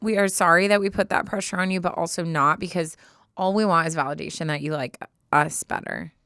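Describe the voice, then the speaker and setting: A woman's voice: fairly low pitch, brisk, a bit bright, no room sound, clean studio sound. An American woman co-hosting a podcast, speaking in an informal, slangy, casual way. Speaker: podcast co-host. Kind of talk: casual banter and reading a listener letter aloud. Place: podcast studio.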